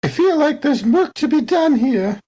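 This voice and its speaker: A woman voicing an earnest, proper crew member in a tabletop game, speaking with measured, genteel phrasing. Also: sits high, lively intonation, dark tone. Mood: composed.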